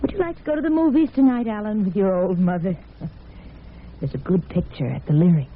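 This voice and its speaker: A woman's voice: medium pitch, fairly quick, natural voice. An actress playing a probing, self-pitying middle-aged mother in an old radio drama, on a tinny, noisy recording. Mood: evasive. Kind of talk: 1950s radio drama acting.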